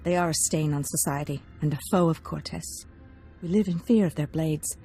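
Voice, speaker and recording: An actress playing an earnest, coaxing noblewoman, gentle in manner, in a video game. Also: sits low, normal speed, faint music behind. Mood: earnest, pleading. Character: earnest, seductive noblewoman, baron's discontented wife. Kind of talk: scripted video game acting.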